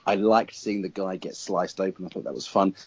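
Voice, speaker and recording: A middle-aged man giving his opinions as a guest critic in a casual panel discussion, pausing to think as he reasons. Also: deep voice, steady tone, no echo, lo-fi sound.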